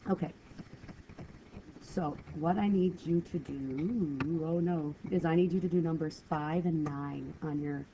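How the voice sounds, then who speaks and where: Stuffy-voiced woman teaching math, gravelly. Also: sits low, a little slow, warm tone. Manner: patient, step-by-step, apologetic. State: stuffy from a cold. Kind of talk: screencast lesson walkthrough. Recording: dull lo-fi screen recording with faint hiss.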